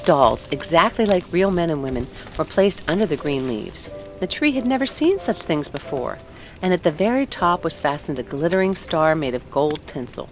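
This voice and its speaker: A woman narrating a children's story aloud in an expressive read-along style. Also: low-pitched, moderate pace, soft background music.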